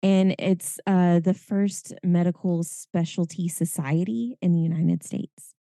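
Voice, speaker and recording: A hoarse woman, co-hosting a podcast in casual, chatty talk full of fillers. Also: fairly low pitch, steady tone, unhurried, warm tone, dry recording, clean studio sound. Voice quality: raspy. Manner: chatty, lots of fillers and laughs. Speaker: podcast co-host. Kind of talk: casual chat.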